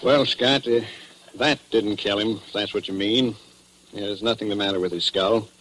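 An actor playing a furtive, loyal small-town doctor in a 1940s radio drama, speaking in a hesitant, confiding way. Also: male voice, fairly low pitch, steady tone, normal speed, lightly acted. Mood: uneasy, confiding. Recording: noisy old radio recording.

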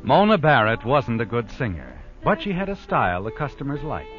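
A man's voice: medium pitch, very animated, normal speed, fairly bright tone. An actor playing an ominous, all-knowing mystery narrator who tells his tale in a brooding, dramatic 1940s radio style. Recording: dull old radio recording with prominent music.

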